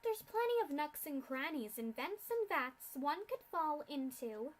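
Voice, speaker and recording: A woman's voice: sits high, big pitch swings, unhurried, voice pushed up. A woman voicing a sadistic, mocking female pony scientist in a fanfic reading, with a theatrical, mock-polite sneer.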